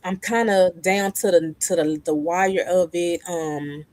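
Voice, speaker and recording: A woman hosting a casual panel conversation who tells stories with lively energy and acts out other people's voices. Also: fairly low pitch, a little slow, no echo. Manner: animated storyteller who acts out quoted voices. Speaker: panel host.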